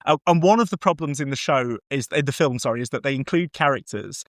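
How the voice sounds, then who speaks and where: A man chatting casually about film in an animated, conversational way. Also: medium pitch, quick pace, no echo, clean studio sound. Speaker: podcast host.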